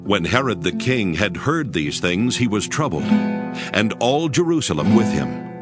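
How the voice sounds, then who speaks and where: Expressive male scripture narrator over music. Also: on the low side, normal speed. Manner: solemn, expressive.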